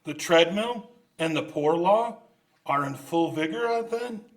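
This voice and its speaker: A man's voice: a little high, animated, a little slow. A man playing a cold, miserly old businessman who is curt and scornful, in old-time radio drama style. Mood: cold, sardonic. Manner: curt, scornful, barking orders.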